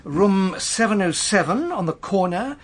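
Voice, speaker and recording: An actor playing a polite, slightly flustered British hotel duty manager in a radio drama, formal and hesitant. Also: male voice, high-pitched, expressive, unhurried. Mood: formal, helpful.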